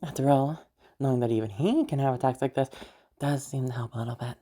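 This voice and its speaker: A woman acting as a playful, caring party host in an intimate audio roleplay, soft-voiced and hesitant, rambling as she gently reassures the listener. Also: a bass voice, fast. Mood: warm, comforting.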